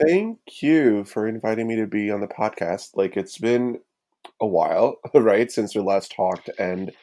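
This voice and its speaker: Low-voiced young man chatting casually. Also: lively intonation, a little slow, mellow. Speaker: podcast guest, student tutor. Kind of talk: casual chat.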